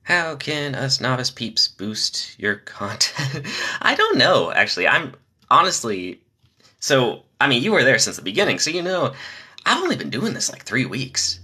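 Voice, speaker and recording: A young man reading joke after joke on a live stream, pitching his voice low from the diaphragm to spare his nasal voice. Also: husky. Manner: rapid-fire joke delivery, lively.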